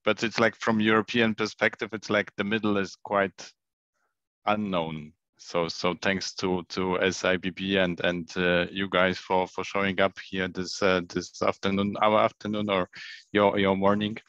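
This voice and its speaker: Guy on a video call, bright forceful voice, stumbles and repeats words, dry muffled sound. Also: deep voice, little pitch movement, normal speed, no room sound. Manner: hesitant, repeats words, light laughs. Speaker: scout and pre-sales for medtech companies. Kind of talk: casual online meeting chat.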